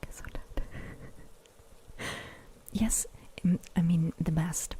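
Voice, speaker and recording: Woman ASMR streamer, whispers close to the mic, slow and mellow, replies to chat. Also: lowish voice, steady tone. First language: German. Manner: whispering, reading out and answering chat. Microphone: sensitive ASMR microphone.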